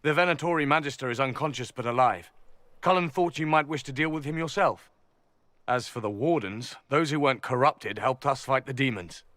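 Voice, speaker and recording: A man's voice: medium pitch, brisk, fairly bright tone, dry recording, close to an everyday voice. An actor playing a dutiful male soldier reporting to his commander in a video game, formal and earnest. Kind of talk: dramatic video game acting.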